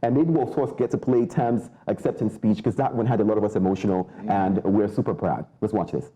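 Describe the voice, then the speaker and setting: Dark-voiced fast-talking male host. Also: lowish voice, rough recording. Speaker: news show host. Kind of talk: TV news discussion.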